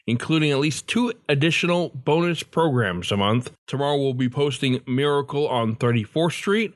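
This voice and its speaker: A man's voice: fairly high pitch, no room sound, clean studio sound. A man with an American accent hosting a podcast, reading a scripted sign-off. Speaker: podcast host. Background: faint music.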